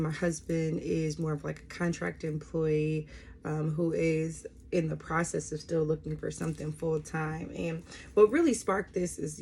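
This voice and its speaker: A woman's voice: low voice, vocal fry, darkish tone, breathy edge. An American woman in her thirties talking casually and candidly to camera as a budgeting vlogger, often checking in with the listener, recorded on a phone. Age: 30s. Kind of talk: casual, personal talk to camera.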